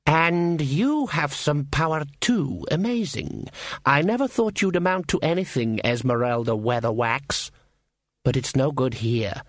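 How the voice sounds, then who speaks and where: A man's voice: a little high, animated, unhurried, dry recording, studio quality. Man voicing a haughty, cruel elf queen. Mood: mocking. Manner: imperious, condescending.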